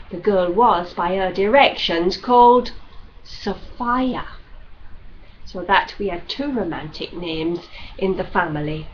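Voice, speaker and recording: A woman reading a classic novel as scripted narration, with measured, deliberate phrasing, like an audiobook reader. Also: on the high side. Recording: dull lo-fi audio with background noise.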